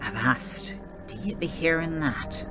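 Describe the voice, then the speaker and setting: A woman playing a bold, steady with an in an audio play. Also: low-pitched, a little slow, over music. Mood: curious. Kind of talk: theatrical audio play acting.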